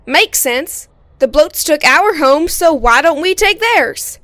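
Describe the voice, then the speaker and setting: A woman's voice: high voice, expressive, takes it slowly, pushed and bright, no room sound, full character voice. A woman playing a brash, outspoken little creature villager in an audio drama. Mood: indignant.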